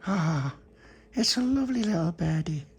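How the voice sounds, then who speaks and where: A man's voice: high-pitched, sing-song, normal speed, voice pushed up. Man voicing an inquisitive, marvelling little girl. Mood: delighted.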